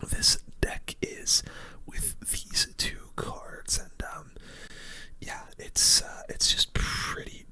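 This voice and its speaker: A game streamer whispers through relaxed-worded, conversational play-by-play in an ASMR style. The whisper is soft and unvoiced, with a very bright, sharp edge. The phrasing is casual and full of gamer slang. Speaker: video game streamer and host. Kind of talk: ASMR whispered gameplay commentary.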